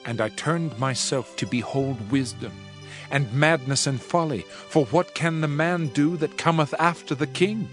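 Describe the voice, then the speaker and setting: An actor playing a world-weary preacher king in a dramatized scripture reading, solemn, measured and oratorical. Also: fairly high pitch, lightly acted. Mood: reflective, rueful. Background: background music.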